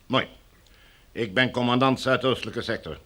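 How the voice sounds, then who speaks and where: An actor playing a formal, domineering military commander in a radio drama, smooth and coldly menacing. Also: male voice, mid-range voice, normal speed, fairly bright tone, close to an everyday voice. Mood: officious, imperious. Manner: formal, officious, bureaucratic.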